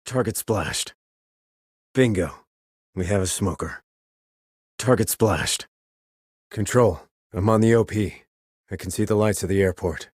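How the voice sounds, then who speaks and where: An actor playing a terse, cool-headed sniper operative in a video game, with clipped, controlled military delivery and a low husky voice. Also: male voice, a little slow, long pauses, a little mellow, dry recording, studio quality. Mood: focused, controlled. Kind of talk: military radio chatter, game dialogue.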